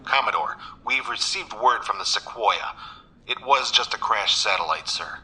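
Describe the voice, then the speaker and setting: An actor playing a dutiful military officer making a report in an audio drama, formal and respectful. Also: male voice, lowish voice, animated, normal speed, sharp tone, poor audio.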